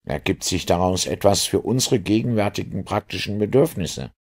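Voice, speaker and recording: A man voicing a practical, impatient male police inspector with brisk, matter-of-fact delivery in an audiobook. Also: fairly low pitch, animated, a little mellow. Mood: practical, insistent. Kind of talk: audiobook character dialogue.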